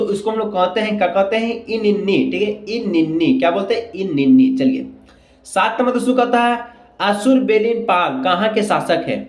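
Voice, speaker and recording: A man teaching exam questions and answers, repeating himself and asking rhetorical questions as he goes. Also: high voice. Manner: repetitive, frequent check phrases and rhetorical questions. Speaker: teacher, exam-prep video host. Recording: echoey room sound.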